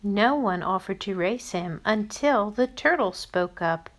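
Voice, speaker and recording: A woman storyteller reading a storybook aloud to young children, expressive and playful. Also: medium pitch, a little slow. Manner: expressive, addresses listeners playfully.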